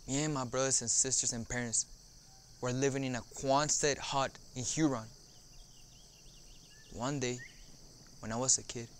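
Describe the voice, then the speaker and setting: An earnest son of a farmworker mother tells his memories played by a young man. He speaks in measured phrases with pauses, at a medium pitch. A faint, steady noise sits behind him. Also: lightly acted. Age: young adult. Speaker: actor in an oral history dramatization. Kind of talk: dramatized first-person monologue.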